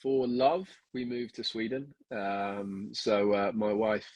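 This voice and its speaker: A man's voice: fairly low pitch, slow pace, a little mellow, no echo. A middle-aged man from Kenya, raised in the UK, with a mixed British and Australian accent, talking as a coaching guest in a casual interview, chatty and full of ums. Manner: chatty, many ums, laughs easily.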